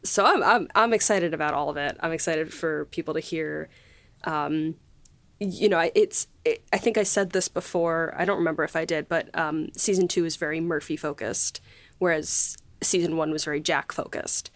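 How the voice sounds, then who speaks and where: A woman hosting a casual behind-the-scenes chat, gushing in a halting, conversational way. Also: deep voice, dry recording. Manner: gushing, halting, peppered with 'like'. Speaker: podcast host and series writer.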